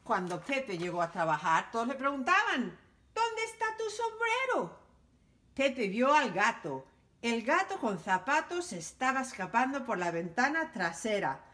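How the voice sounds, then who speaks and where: A woman reading a children's picture book aloud for library storytime, in an animated, sing-song storytelling manner. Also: a little high, normal speed.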